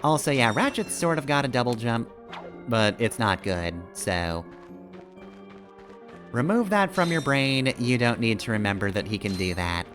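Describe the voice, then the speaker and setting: Wry male game streamer with lively intonation. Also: mid-range voice, normal speed. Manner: rambling, analytical, wry. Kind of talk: casual live gameplay commentary. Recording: game music behind the voice. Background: video game music.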